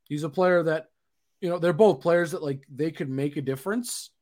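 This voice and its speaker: A man's voice: a little high, moderate pace, darkish tone. A man hosting a sports talk show, chatty and steering the conversation between co-hosts. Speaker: podcast host.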